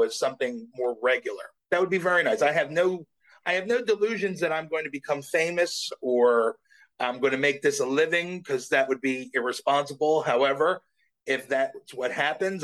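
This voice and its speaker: A man's voice: mid-range voice, a bit bright, rough recording. A middle-aged man as an interview guest, talking earnestly and self-deprecatingly with many restarts. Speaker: voice actor guest. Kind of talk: casual interview chat.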